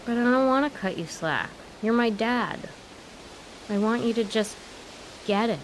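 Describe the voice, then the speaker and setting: A high-voiced young grown daughter in a comedic audio play, with hiss behind her. She is strong-willed, earnest and wry, arguing her case emphatically in a naturalistic, frustrated way. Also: lively intonation, a little slow.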